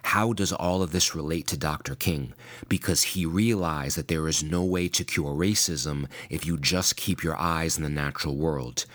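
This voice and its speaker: Very deep-voiced man preaching. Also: moderate pace, fairly bright tone. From United States (New York). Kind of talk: scripted sermon.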